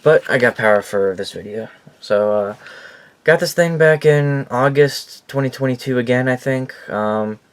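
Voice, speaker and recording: A man's voice: mid-range voice, moderate pace, smooth. A man casually and informally presenting his collection in a rambling, unscripted vlog.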